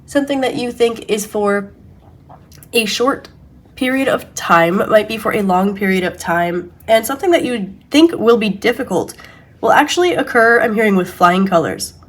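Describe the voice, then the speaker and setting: A woman with a stuffy nose giving an intuitive tarot reading, speaking slowly and softly, with pauses and restarts. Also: mid-range voice, expressive. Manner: slow, soft, searching delivery with pauses and restarts. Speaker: tarot reader.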